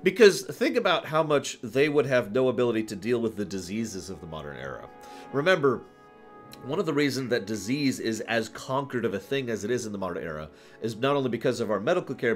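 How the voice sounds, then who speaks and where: A man hosting a livestream, answering viewer questions in casual, off-the-cuff commentary with an animated, playful way of talking. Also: fairly low pitch, fairly quick, faint music behind. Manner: animated, chuckles through his points, acts out examples.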